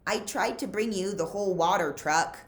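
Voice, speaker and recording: A woman's voice: medium pitch, moderate pace, reverberant, lightly acted. A woman reading the lines of an earnest, urgent teenage boy in a storybook, with a pleading manner. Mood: sheepish disbelief.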